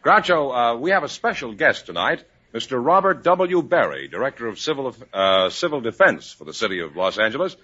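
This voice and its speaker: A man's voice: medium pitch, big pitch swings, brisk, hardly any pauses, bright, forceful tone. An American man announcing guests and scores in the style of a 1950s radio show. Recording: muffled 1950s radio broadcast.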